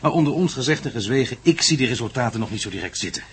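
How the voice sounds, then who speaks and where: An actor playing a bureaucratic, patronising university rector in a radio play, formal and unyielding. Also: male voice, medium pitch, animated, very fast, fairly bright tone, husky. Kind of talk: radio drama acting. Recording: slightly noisy radio play recording.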